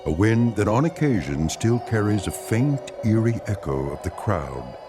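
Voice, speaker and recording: A man giving measured, ominous scripted narration for a radio drama. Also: on the low side, very animated, over loud music.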